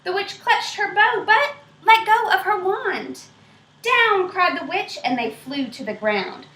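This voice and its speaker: A woman reading a picture book aloud to children in an expressive way, stopping to ask them questions. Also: very high voice. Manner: expressive, pausing to quiz young listeners. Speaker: storybook reader. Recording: roomy echo.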